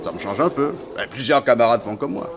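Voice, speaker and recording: An actor playing a hearty, fearless tiger tamer, proud and affectionate, with an exuberant, coaxing manner, in a mid-century French radio drama. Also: male voice, mid-range voice, lively intonation, fast talker, lightly acted. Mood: matter-of-fact. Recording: noisy old radio drama recording.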